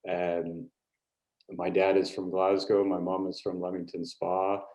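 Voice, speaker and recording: An American man introducing himself informally at an online meeting, speaking haltingly with pauses mid-phrase. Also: sits low, monotone, darkish tone, poor audio. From California, United States. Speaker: board trustee.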